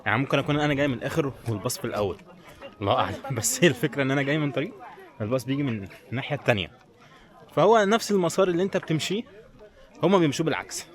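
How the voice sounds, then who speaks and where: A man with an Egyptian Arabic accent chatting informally and directly to viewers as a travel vlogger on the move outdoors. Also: medium pitch. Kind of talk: casual walking vlog chat to viewers. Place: outdoors in a busy tourist village. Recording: crowd chatter, outdoor street sound. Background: crowd and outdoor sounds.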